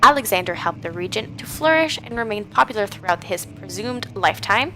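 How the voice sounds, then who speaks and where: A young woman with a raspy voice from a cold, reading game notes aloud and reacting nervously as she plays a horror game on a headset mic. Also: mid-range voice, animated, brisk, sharp tone, low-quality recording. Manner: reads notes aloud, then jumpy reactive chatter. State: getting over a cold. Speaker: gaming streamer. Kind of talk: horror game let's play commentary. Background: game music.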